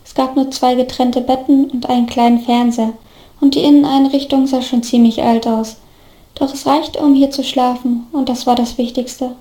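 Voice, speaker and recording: A woman's voice: high-pitched, little pitch movement, normal speed, warm tone, roomy sound. A woman narrating a scripted story.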